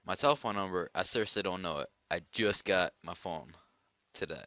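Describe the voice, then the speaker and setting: A man chatting casually and making a prank phone call in a deadpan, slangy manner. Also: deep voice, fairly quick, no echo. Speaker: prank caller.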